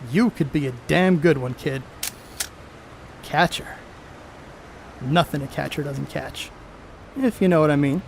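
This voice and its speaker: Male actor as boastful 1930s ballplayer, high voice, folksy needling slang, amateur stage play, faint background noise. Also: creaky, darkish tone. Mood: chummy, easygoing. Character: Babe Ruth, brash, boastful, crude, star ballplayer.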